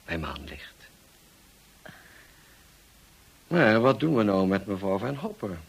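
Very husky, low-voiced brusque gentleman. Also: sing-song, normal speed, heavily acted. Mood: teasing. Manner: dry, commanding, clipped questioning. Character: brusque, teasing, moody gentleman suitor. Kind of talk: Dutch radio drama acting.

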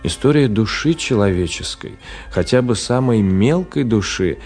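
An actor playing a reflective author who narrates a radio play in a measured, literary manner. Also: male voice, on the low side, animated, a little mellow, soft background music.

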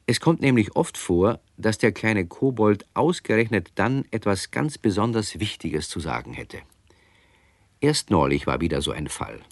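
A man's voice: on the low side, very animated, normal speed, no echo, studio quality. A man narrating a scripted children's story as the narrator of a radio play.

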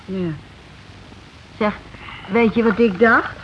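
Meek, muddled, hesitant wife. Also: female voice, on the high side, steady tone, slow. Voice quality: colloquial, unpolished speech. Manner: hesitant, stammering, repeats herself. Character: simple, meek, muddled working-class wife. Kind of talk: naturalistic radio play acting. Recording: dull, noisy old radio recording.

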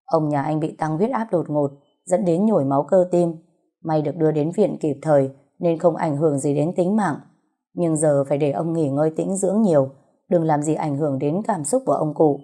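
A woman voicing a stern, businesslike hospital doctor giving brisk instructions in an audio story. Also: deep voice, mellow.

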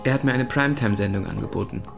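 An actor as hesitant, soft-spoken radio man, Xavier. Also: mid-range voice, very fast, vocal fry, over music, natural voice. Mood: careful, apologetic. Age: middle-aged. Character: Xavier, soft-spoken eccentric radio presenter in his thirties. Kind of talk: German radio drama acting.

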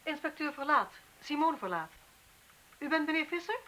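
An actress with a Netherlands Dutch accent playing a weary, irritable police inspector in a naturalistic radio drama, curt and matter-of-fact. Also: female voice, high voice, animated, normal speed, sharp tone. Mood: businesslike. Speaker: actor in a radio play.

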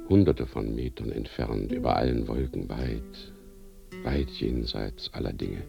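A brooding, lovelorn man in a lyrical radio play monologue. His voice is extremely low and full of gravel, drawn out slowly while a guitar is plucked behind him. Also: heavily acted. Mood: yearning, dreamy. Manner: slow, yearning. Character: brooding man longing for his lost beloved. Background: plucked guitar music.

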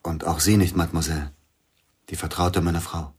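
A grieving widowed pianist. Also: male voice, sits low, steady tone, brisk, husky. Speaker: actor in radio play. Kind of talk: radio drama acting.

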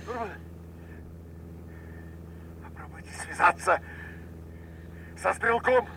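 An actor playing a wounded, blinded bomber commander in a war radio drama, giving halting, strained orders while fighting pain. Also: male voice, high voice, lively intonation, creaky, sharp tone, full character voice. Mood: strained, commanding. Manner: strained, halting commands, swinging from cries to whispers. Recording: noisy radio play with engine hum.

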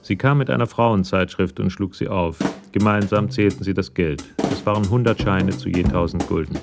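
A man narrating a radio crime drama from a script in a measured, matter-of-fact way. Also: low voice, vocal fry. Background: music with drums.

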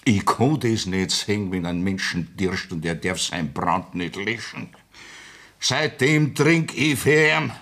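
An actor playing a loyal, hearty drinking friend in a 1960s radio play, excitable. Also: male voice, lowish voice, takes it slowly, full character voice. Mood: agitated. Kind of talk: 1960s radio drama acting.

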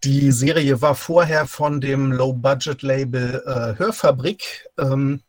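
Halting middle-aged man, dry sound. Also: mid-range voice, steady tone, no echo. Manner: halting, hesitant delivery with many filled pauses. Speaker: interview guest, audio drama writer. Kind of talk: casual interview chat.

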